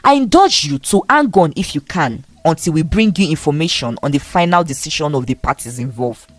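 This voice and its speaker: A woman narrator plays a male pastor in a folktale. He is formal and placating, with animated emphasis as he addresses his flock. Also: deep voice, brisk, no echo. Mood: placating, apologetic. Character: male pastor, orderly, apologetic, addressing a congregation.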